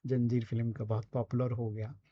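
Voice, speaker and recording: Male Indian coach, mid-pitched and soft-toned, explanatory online teaching with frequent check-ins, dull recording. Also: flat delivery, moderate pace, husky. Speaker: voice and radio scriptwriting coach.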